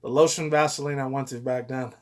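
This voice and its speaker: A man's voice: medium pitch, steady tone, brisk, fairly bright tone. A man in his thirties giving casual, rambling reaction commentary as a YouTuber, frank and profane. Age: 30s. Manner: crude, frank, profane, chuckling asides.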